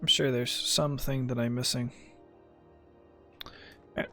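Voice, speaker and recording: A woman's voice: extremely low pitch, fairly even intonation, measured pace, bright, forceful tone. A woman giving casual, flippant, joking commentary while streaming a video game. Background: game music.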